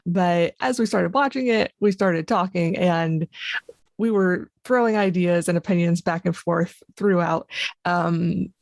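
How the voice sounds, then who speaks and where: A woman's voice: fairly low pitch, measured pace, creaky, a little mellow, no room sound, studio quality. A woman co-hosting a podcast, chatting casually and rambling with fillers. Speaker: podcast co-host.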